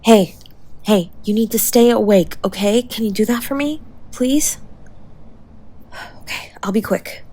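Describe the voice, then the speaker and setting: A woman playing a tough, sharp-tongued young woman who is secretly tender, in an intimate roleplay audio drama spoken to the listener. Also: mid-range voice, a little slow, long pauses, slight background noise, lightly acted. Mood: gentle, urgent. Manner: brusque, bossy and teasing with urgent pleading.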